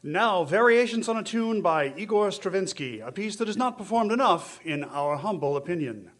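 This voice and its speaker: Guy acting old radio concert announcer, high voice, formal and showy, introducing music in a radio play. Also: lively intonation, moderate pace, a bit bright. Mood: courteous, showy. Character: courteous male ballroom music announcer on 1930s radio.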